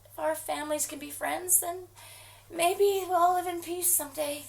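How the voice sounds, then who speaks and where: A woman's voice: very high voice, fairly even intonation, normal speed, vocal fry, far from the mic, poor audio. An actress playing a desperate, frightened woman making phone calls for help, pleading, panicking and at times whispering. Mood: worried. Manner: frantic, pleading, shifting to hushed whispers. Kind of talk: dramatic monologue acting.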